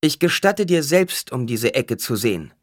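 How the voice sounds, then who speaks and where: A man voicing a stern, cryptic prophetess in an audiobook, intoning solemnly with a sharp edge. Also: mid-range voice, lively intonation, unhurried, no echo, clean studio sound, voice pushed up. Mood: sharp, scornful.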